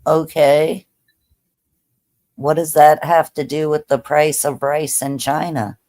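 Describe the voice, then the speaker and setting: A woman's voice: low-pitched, fairly even intonation, slow, warm tone. A woman in her thirties hosting a casual livestream chat, laid-back and chatty with many fillers. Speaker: livestream host.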